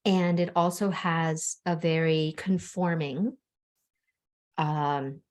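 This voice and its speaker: Young woman from the Bahamas, low-pitched, candid interview guest who talks earnestly and leans on "like". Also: steady tone, takes it slowly, darkish tone. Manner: open, earnest, frequent "like" fillers. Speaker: guest, spiritual coach.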